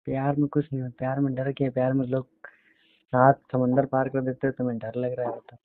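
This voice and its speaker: A man's voice: mid-range voice, monotone, brisk, no echo. Coaxing man on a tinny phone line. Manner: coaxing, teasing. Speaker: boyfriend on a phone call. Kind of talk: casual intimate phone chat. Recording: tinny, band-limited phone call recording.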